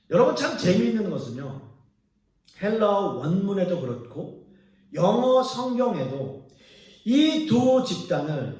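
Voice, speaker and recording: A male pastor preaching a sermon, explaining scripture and putting questions to the congregation. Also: high voice. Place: church sanctuary. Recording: echoey church hall from far off.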